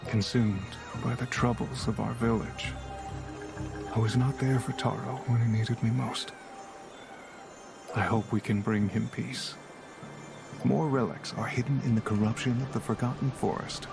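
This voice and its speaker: An actor playing a solemn, regretful older village mentor and hunter in a video game, gravelly, measured and grave. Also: male voice, mid-range voice, steady tone, over music. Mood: sorrowful. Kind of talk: scripted video game dialogue.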